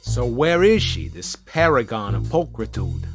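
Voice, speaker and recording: An actor playing a brisk, no-nonsense policeman in a satirical 1940s audio play, authoritative and clipped. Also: mid-range voice, sing-song, vocal fry, soft background music. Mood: wry, firm.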